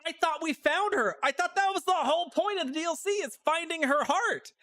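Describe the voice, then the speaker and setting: A man's voice: very high voice, fairly quick, sharp tone. A man giving casual livestream commentary on a game, reacting with puzzled asides and repeating his phrases. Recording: music behind the voice.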